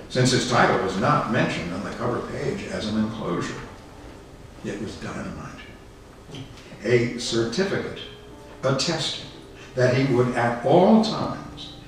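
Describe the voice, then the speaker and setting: Distant, echoing male memoir reader, expressive. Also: medium pitch. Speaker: reader of a memoir at a public program. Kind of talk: dramatic live reading of a written memoir. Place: indoor arts center venue. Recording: roomy echoing hall, distant mic, faint music.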